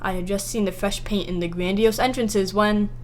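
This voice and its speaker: A woman playing a wry, cynical private detective with a soft heart, narrating and talking in a radio drama in a dry, understated way. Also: mid-range voice, steady tone, moderate pace, natural voice. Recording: some background noise and ambience.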